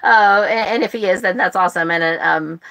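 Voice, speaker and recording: A woman's voice: mid-range voice, brisk, fairly bright tone. An American woman hosting a book club live stream, chatting casually in a rambling way. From United States. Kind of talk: casual chat. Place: live stream, speaking to viewers online.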